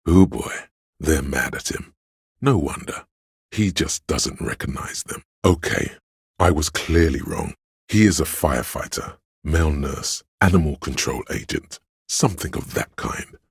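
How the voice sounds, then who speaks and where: A man voicing an omniscient inner voice of police camaraderie in a detective video game, narrating gravely and theatrically in a husky, gravelly voice. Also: expressive, unhurried, no room sound, studio quality, full character voice. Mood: wry, knowing. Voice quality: very deep gravelly husky rasp. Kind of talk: dramatic literary game narration.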